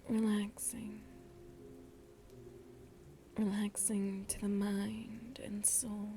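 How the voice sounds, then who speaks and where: A woman playing a seductive, motherly vampire in an ASMR roleplay, speaking in a hushed, breathy, coaxing way with quiet menace, close to the mic. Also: medium pitch, slight background noise. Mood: soothing, seductive. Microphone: close microphone.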